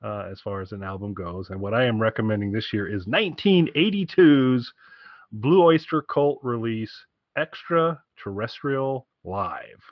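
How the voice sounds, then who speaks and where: A man's voice: fairly low pitch, expressive, unhurried, no echo, muffled recording. A man co-hosting a podcast, rambling casually and enthusiastically about music recommendations with wry asides. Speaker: podcast co-host giving album recommendations.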